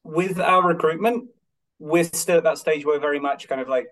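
A man's voice: a little high, normal speed, crowd noise. A man with a non-Irish accent speaking as a podcast guest in casual, hesitant, rambling interview chat. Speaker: podcast guest, Gaelic games club member.

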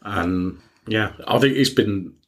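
A man co-hosting a casual music podcast, often halting and searching for words. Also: lowish voice. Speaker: podcast co-host.

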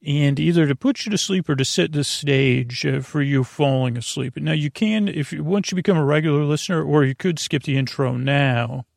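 A man with a creaky voice hosting a bedtime podcast, meandering and halting through a soothing monologue full of tangents and self-corrections. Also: on the high side, no room sound, clean studio sound. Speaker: podcast host. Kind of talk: rambling bedtime monologue meant to lull listeners to sleep.

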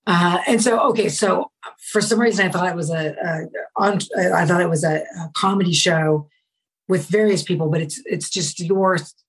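A woman from the United States hosting a casual interview, talking in a rambling way with many pauses and restarts. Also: on the low side. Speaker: podcast host, comedian.

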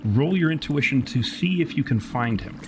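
A man's voice: mid-range voice, expressive, moderate pace, noisy background. A man improvising narration as game master of a tabletop roleplaying game, with wordy, storyteller phrasing.

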